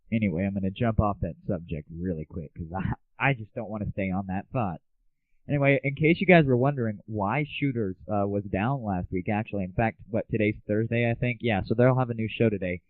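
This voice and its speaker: Young American male host, lowish voice, irreverent casual rant, slangy, dry phone-like audio. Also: expressive, normal speed, dry recording. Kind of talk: casual solo gaming news and comedy rant.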